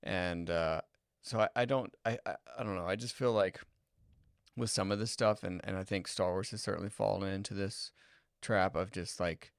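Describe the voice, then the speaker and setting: A man's voice: low voice, steady tone, normal speed, creaky, clean tone, dry recording, clean studio sound. A man co-hosting a podcast, speaking casually and hesitantly, often repeating words as he searches for them. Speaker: podcast co-host. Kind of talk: casual chat.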